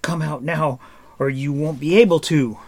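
A man's voice: on the low side, steady tone, moderate pace. Man playing a hot-headed, accusing young hero. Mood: furious. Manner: accusing, blunt, commanding. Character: hot-headed, bitter young male sword-swinging hero.

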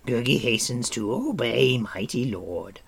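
A man's voice: medium pitch, expressive, measured pace, vocal fry, slightly rough, voice pushed up, full character voice. A man voicing a whimpering, servile wild creature in an audiobook, fawning and pitying himself in the third person. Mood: obsequious, wounded. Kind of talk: audiobook character voice.

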